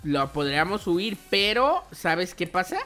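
A young man hosts casual gaming commentary in a bright, lively-edged voice. He is chatty and playful and often addresses his viewers directly. Faint music plays behind him. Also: high-pitched, measured pace. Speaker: host of a gaming channel.

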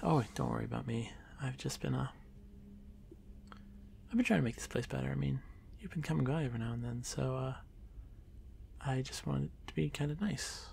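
Male actor as timid young drider for fantasy ASMR: very creaky, soft, halting delivery, lonely and apologetic, audible noise behind. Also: mid-range voice. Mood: self-conscious, anxious. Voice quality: gravelly, creaky. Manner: hesitant, stammering, soft-spoken, often dropping to whisper. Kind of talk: ASMR fantasy roleplay addressed to the listener.

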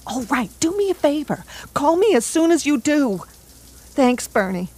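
An actress in a radio drama playing a gangster's wary, sharp-tongued girlfriend, dramatic and demanding. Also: sits high, very animated, moderate pace. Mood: demanding, tense.

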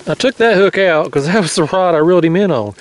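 A man's voice: sits high, expressive, fast, a little hiss or hum. Folksy Southern man fishing at night. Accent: Southern American. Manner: folksy, talks to viewers as "y'all", chuckles often. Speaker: fishing vlogger. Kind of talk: casual outdoor commentary. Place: riverbank at night, outdoors. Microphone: action camera on the bank.

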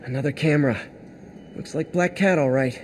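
An actor playing an eager young American superhero and lab assistant in a video game, speaking casually and earnestly. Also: male voice, fairly high pitch, moderate pace, a little hiss or hum, natural voice. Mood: musing. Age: young adult.

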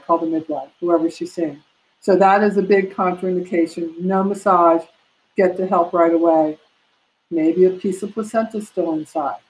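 A woman's voice: fairly low pitch, darkish tone, low-quality recording. An older woman from the United States teaching a live online massage class from a room at home, answering questions in a practical, chatty way with many fillers. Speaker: prenatal massage instructor. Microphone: laptop webcam on a video call.

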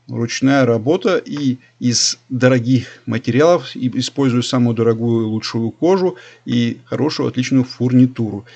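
A man's voice: medium pitch, creaky, a little mellow, breathy edge, muffled recording. Guy in his 40s rambling over a screen recording, keeps saying how great it is, mid voice. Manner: chatty, repetitive, enthusiastic salesman-like recommending. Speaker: leather belt maker and channel host.

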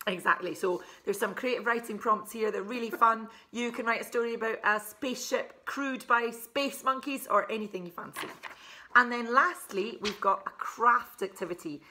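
A woman's voice: on the high side, moderate pace, sharp tone, a little hiss or hum. A woman in her forties from Scotland, chatting as an author presenting a children's book and explaining things simply with asides. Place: furnished living room, indoors.

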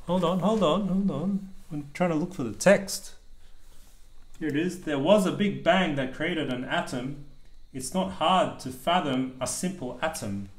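Male, slightly high voice, parent reading a picture book aloud, deliberate rhyming delivery with casual asides. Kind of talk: read-aloud storybook narration with casual asides.

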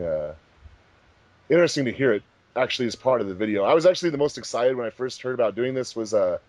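A man's voice: mid-range voice, no echo. A man hosting a casual group commentary track, talking informally as the director of a fan project. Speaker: host, fandub director.

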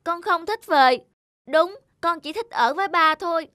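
A woman's voice: very high voice, a little slow, sharp tone, no echo, clean studio sound, full character voice. A woman voicing an earnest, devoted young schoolboy in a scripted cartoon.

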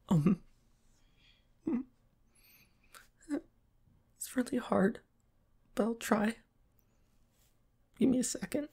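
A man playing a shy, flustered, submissive young pop star boyfriend in a roleplay audio, with soft breathy, stammering, hesitant speech. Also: high-pitched, full character voice. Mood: shy, eager. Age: young adult. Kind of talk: roleplay ASMR audio acting.

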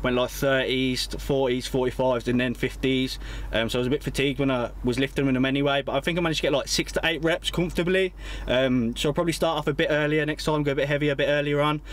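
A man's voice: medium pitch, steady tone, fast talker, hardly any pauses. A young man, chatting casually to camera in a parked van, with plenty of ums and uhs. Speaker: fitness vlogger. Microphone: camera held at arm's length.

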